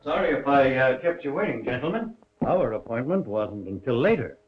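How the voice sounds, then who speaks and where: A man's voice: medium pitch, expressive, moderate pace, husky. An actor playing a nervous, wealthy male banker in a 1930s crime film, courteous and hesitant under threat. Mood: uneasy. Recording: muffled 1930s film soundtrack, faint music.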